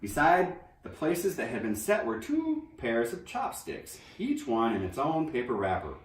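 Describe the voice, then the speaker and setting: A man reading a children's novel aloud in an expressive storybook narration style. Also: medium pitch, unhurried. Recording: roomy echo, distant microphone.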